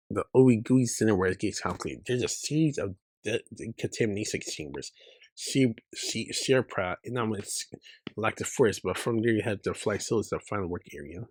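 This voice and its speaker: A man's voice: lowish voice, a little mellow, slightly rough, no room sound. A man performing dialogue from a dramatic story.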